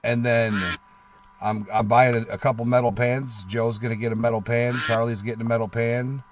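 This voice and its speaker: A man's voice: medium pitch, level tone, normal speed. A man co-hosting a livestream in casual, conversational talk. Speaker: livestream co-host. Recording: faint background noise.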